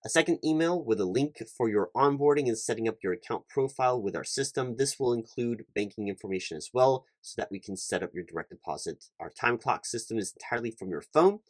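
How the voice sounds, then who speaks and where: A man's voice: mid-range voice, lively intonation, fast, few pauses, no echo, natural voice. A man playing an officious, polite recruiting manager in a one-sided ASMR roleplay, talking in a wordy, hesitant way and repeating himself. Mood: officious, explanatory. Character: officious, rambling male corporate recruiting manager, polite and awkward.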